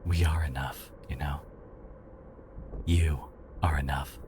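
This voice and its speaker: A man playing a devoted, protective boyfriend in an intimate ASMR audio roleplay, speaking haltingly with sighs and whispers, emotional and tender. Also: rumbling low, fairly even intonation, noisy background. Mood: soft, soothing. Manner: halting, sighing, slipping into breathy whispers.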